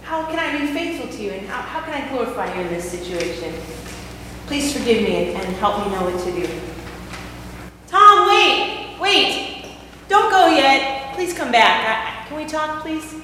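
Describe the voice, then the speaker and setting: A woman's voice: on the high side, very animated, a little fast, background noise. A woman playing an overworked housewife in a church skit, talking emotionally and swinging between scolding and pleading. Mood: praying. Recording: echoey hall from far off.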